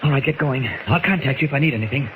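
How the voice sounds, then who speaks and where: A man's voice: medium pitch, racing, lightly acted. An actor playing a purposeful male newspaper reporter, confiding and authoritative, in 1940s radio acting. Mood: brisk, purposeful. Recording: noisy 1940s radio recording.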